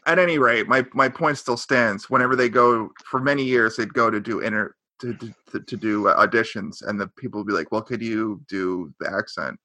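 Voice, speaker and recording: A man's voice: lowish voice, brisk, hardly any pauses, pushed and bright, dry recording. A man co-hosting a podcast, chatting casually about an old sitcom, rambling and correcting himself, with a sarcastic edge. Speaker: podcast co-host. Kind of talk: casual chat recapping a sitcom.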